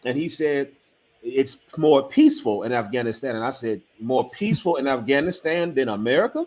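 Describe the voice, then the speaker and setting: A man on an online panel discussion, telling a story with acted-out dialogue and building to forceful emphasis. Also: medium pitch, lively intonation, a little fast. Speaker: panel member.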